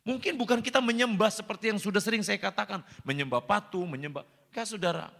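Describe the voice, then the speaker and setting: Pastor, male, high gravelly voice, emphatic and repetitive sermon delivery, long hall reverb. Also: fast, a bit bright. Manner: repeats phrases, addresses congregation directly, emphatic rises. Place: church hall.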